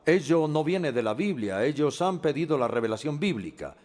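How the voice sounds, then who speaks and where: Guy dubbing a lecture, kinda high voice, goes up and down a lot, says eh now and then. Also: very animated, darkish tone. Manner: measured and explanatory, with hesitant fillers. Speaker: Spanish voice-over of a lecturer. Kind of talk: dubbed lecture.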